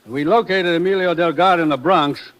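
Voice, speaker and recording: An actor playing an anxious, urgent government commissioner in 1950s radio drama style. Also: male voice, on the high side, a little fast, fairly bright tone, close to an everyday voice, low-quality recording. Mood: businesslike.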